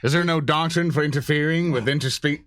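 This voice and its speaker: A man's voice: a little high, a little fast, fairly bright tone, no echo, studio quality. A man hosting a casual livestream interview, reading out audience questions in an informal, joking way and sometimes losing his thread.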